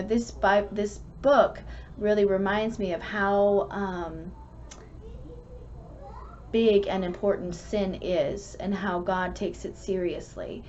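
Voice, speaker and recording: A woman's voice: mid-range voice, a little mellow. A woman reading and explaining a children's story in an earnest, instructive manner. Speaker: storybook reader and host of a kids' club. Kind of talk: rhyming picture-book read-aloud, then casual explanation to children. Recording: muffled lo-fi sound with faint background noise.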